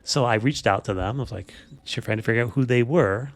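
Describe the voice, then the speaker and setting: Casual male podcast host, gravelly edge. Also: medium pitch, a little fast, no echo. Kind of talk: casual unscripted podcast chat.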